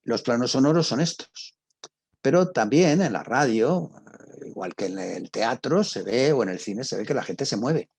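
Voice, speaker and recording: A man's voice: medium pitch, lively intonation, normal speed, creaky, a little mellow, breathy edge, dry recording. A man giving an informal explanatory lesson as a teacher, in a didactic manner, rephrasing as he goes with lists and examples. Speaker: drama teacher and academic.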